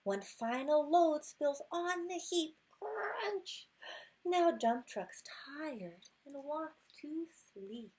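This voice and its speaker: A woman reading a rhyming children's picture book aloud expressively, unhurried, with hushed moments and little asides. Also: sits high, a little hiss or hum. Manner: expressive, unhurried, drops to hushed whispers at good nights. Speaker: storytime reader.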